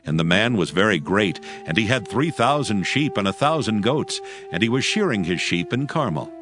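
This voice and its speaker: A man's voice: on the low side, very animated, normal speed, music in the background. A man narrating dramatized scripture as a solemn storyteller.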